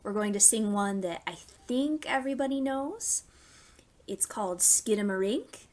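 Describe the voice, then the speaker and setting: A woman hosting a storytime for young children, reading stories and giving simple, clearly phrased instructions. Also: mid-range voice, animated, moderate pace, a little mellow. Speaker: children's library storytime host.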